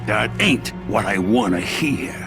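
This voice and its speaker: A man's voice: fairly low pitch, expressive, unhurried, vocal fry, music in the background. A man playing an angry, aging Italian mafia boss in a video game cutscene, commanding and sardonic, with menace. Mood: angry, threatening. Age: older. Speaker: crime boss.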